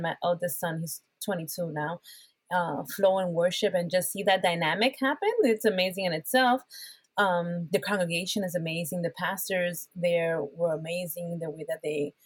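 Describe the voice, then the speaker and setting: A middle-aged woman from Puerto Rico with a somewhat low, husky voice, talking as a podcast guest. She chats in a lively, friendly way and breaks into laughter often. The sound is dry and close. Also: dry recording. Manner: warm, laughs often, animated. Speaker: podcast guest, worship pastor. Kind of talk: casual chat.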